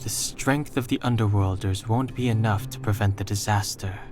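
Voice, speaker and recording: A man's voice: fairly low pitch, music in the background, lightly acted. Man as a cool, analytical young man. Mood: calm, reasoning. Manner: measured, matter-of-fact, dry. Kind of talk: video game voice acting.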